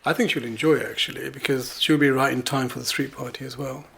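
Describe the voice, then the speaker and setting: A middle-aged man from Bangladesh chats easily in an interview, his voice gravelly with a husky edge. He talks in a relaxed way and laughs often. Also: mid-range voice, quick pace. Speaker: interviewee, planetary science student. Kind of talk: casual interview.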